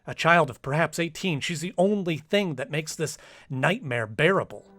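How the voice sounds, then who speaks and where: A man's voice: fairly high pitch, expressive, normal speed, a bit bright. A man playing an earnest, anxious trapped diarist in an old-time radio drama, speaking uneasily. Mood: tender. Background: music.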